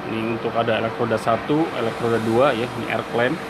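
A man's voice: mid-range voice, flat delivery, quick pace. A man with an Indonesian accent giving a casual, explanatory product demonstration, repeating key points. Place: indoor workshop. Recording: clear workshop hum and ambient noise.

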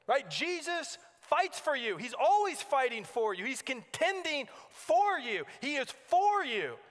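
A man's voice: extremely high pitch, fairly bright tone. A man preaching a sermon as a pastor, earnest and exhorting, repeating phrases for emphasis. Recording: long hall reverb.

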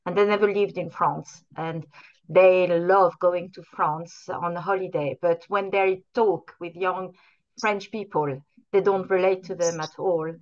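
Woman, video call, dull muffled sound, lowish voice, encouraging and halting. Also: steady tone. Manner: encouraging, thoughtful, slightly halting. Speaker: participant. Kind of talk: casual video-call conversation.

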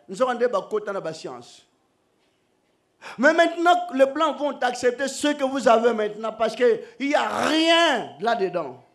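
A middle-aged man preaching a sermon into a stand microphone on an outdoor church stage, animated and joking, swinging between shouts and soft asides. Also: very high-pitched.

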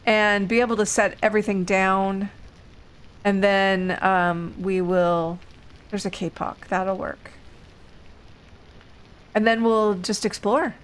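Slow-talking woman doing casual game commentary, recovering from illness. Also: medium pitch, steady tone. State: getting over an illness. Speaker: gaming video host. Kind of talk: casual let's play commentary.

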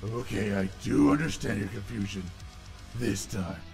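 A bloodthirsty demon war god in a comic dub. He is gruff, exasperated and deeply gravelly, speaking slowly, with a roomy echo around him. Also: darkish tone, faint music behind. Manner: gruff, sardonic.

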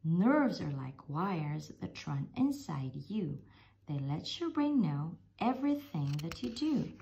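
Slow, gravelly woman reading a storybook. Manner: slow, expressive read-aloud with lively pitch. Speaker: storybook reader. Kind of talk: reading a rhyming picture book aloud.

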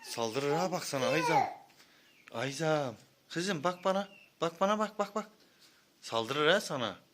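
A man's voice: on the high side, animated, unhurried, a bit bright. A man speaks to his young child in casual, coaxing bursts, often repeating a short prompt. It sounds like an amateur outdoor video from a handheld phone, with faint noise behind the voice. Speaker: parent filming a young child. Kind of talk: casual child-directed chat. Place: outdoors near animals.

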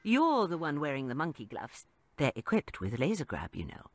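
A woman's voice: sits low, huge pitch swings, normal speed, exaggerated voice. A woman playing a sarcastic shopkeeper who also narrates, in a video game. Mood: dry. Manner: sarcastic, dry teasing. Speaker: comic shop clerk and narrator.

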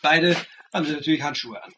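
A man's voice: a little high, quick pace, background noise, close to an everyday voice. An actor in a radio crime play voicing a clever, persistent sleuth who lays out his theory in an assured, needling way. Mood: assured, explanatory. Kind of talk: German radio play dialogue.

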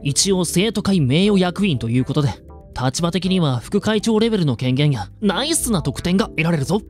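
A man's voice: high voice, animated, normal speed, darkish tone, soft background music. A man playing a stiff, pompous student council officer in an amateur voice drama, speaking in formal, old-fashioned phrases. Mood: persuasive, pompous.

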